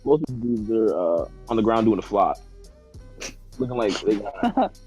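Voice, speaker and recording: A man with a fairly low voice tells a comedic story among friends. His talk is slangy and animated, loose and casual. Faint music plays behind him. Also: moderate pace. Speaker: podcast guest telling a story. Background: background music.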